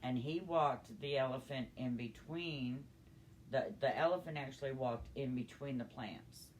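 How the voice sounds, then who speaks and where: An older woman telling a personal story in a conversational, halting, unhurried way. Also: a bass voice, a little hiss or hum. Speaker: storyteller grandmother.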